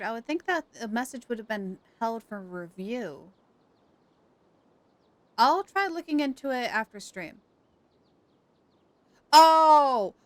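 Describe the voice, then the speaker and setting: A woman chatting casually on a game livestream, reacting in an animated, exclamatory way. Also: on the high side. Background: game music.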